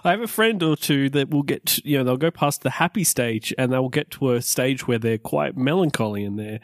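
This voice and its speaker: A man's voice: mid-range voice, a little fast, dry recording, clean studio sound. A man chatting casually as a podcast co-host, rambling with lots of fillers and restarts. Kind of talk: casual chat.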